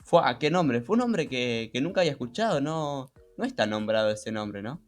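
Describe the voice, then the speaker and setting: Rioplatense man co-hosting over soft music. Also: medium pitch, sing-song, moderate pace. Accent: Rioplatense Spanish. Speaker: podcast co-host. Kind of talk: casual conversational podcast. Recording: music behind. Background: background music.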